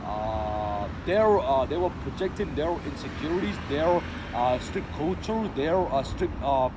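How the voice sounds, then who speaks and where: Man from Sri Lanka, slightly high voice; rambling slangy talker, recorded outside over loud traffic. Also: lively intonation, a little slow. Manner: rambling, slang-heavy, many fillers and restarts. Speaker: vlogger. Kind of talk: casual unscripted monologue. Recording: noisy street with passing cars.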